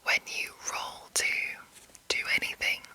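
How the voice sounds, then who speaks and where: A woman whispering an explanation in a breathy, rambling, unscripted ASMR style, soft and measured. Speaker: ASMR host explaining a roleplaying game.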